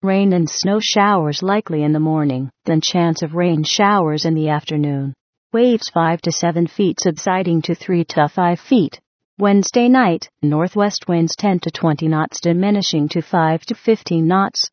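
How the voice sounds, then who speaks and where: A woman's voice: on the low side, moderate pace, no echo. A synthetic woman's voice reading weather bulletins in a mechanical, impersonal manner on a muffled radio recording. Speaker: automated weather radio announcer.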